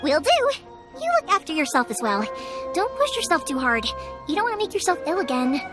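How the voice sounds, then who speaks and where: A woman's voice: very high voice, sing-song, fairly quick, over music. A woman playing a cheerful, eager young fireworks maker in a video game, chatty and earnest. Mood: earnest protest. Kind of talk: animated video game voice acting.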